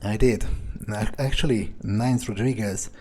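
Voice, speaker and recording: A man doing in-character commentary for a video game playthrough, speaking in a hesitant, low-key, musing way. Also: fairly even intonation, measured pace, mellow, slightly rough. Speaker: gaming video creator role-playing the player character. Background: game music.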